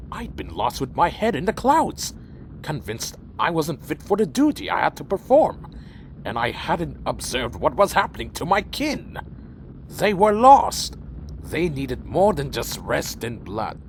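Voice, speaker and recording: An actor playing a troubled, earnest vampire leader who speaks formally and solemnly in an audio drama. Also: male voice, on the high side, creaky, slight background noise, heavily acted, rough recording. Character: earnest, troubled male vampire clan leader, proud yet deferential. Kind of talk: audio drama narration and dialogue.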